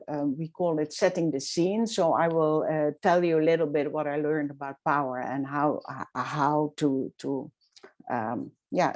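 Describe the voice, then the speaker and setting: Low-voiced woman facilitating an online session. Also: darkish tone. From Netherlands. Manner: halting, many fillers. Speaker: workshop facilitator. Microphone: video call.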